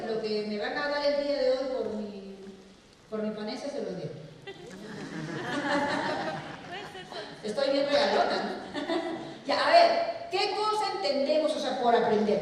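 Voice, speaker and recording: A woman's voice: sits high, lively intonation, measured pace, pauses often. A middle-aged Peruvian woman with a Peruvian Spanish accent, giving a talk to teachers and often asking questions and answering them herself. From Peru. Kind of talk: lecture to a hall of teachers. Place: large lecture hall. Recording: echoey hall recorded from far off.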